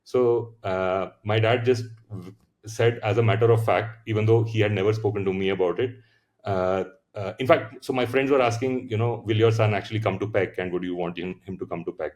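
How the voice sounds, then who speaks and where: A man's voice: lowish voice, fairly quick, a little mellow. A man in his thirties with an Indian English accent, a founder telling his story in a casual interview, reflective, with many fillers and restarts. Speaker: founder, podcast guest.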